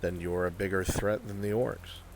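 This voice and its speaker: A man playing a grave mage mentor in a fantasy roleplay, speaking in solemn, measured, weighty phrases. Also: sits low, little pitch movement, slight background noise. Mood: stern. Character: grave male mage mentor warning of magic's dangers. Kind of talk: improvised fantasy roleplay acting. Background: rain.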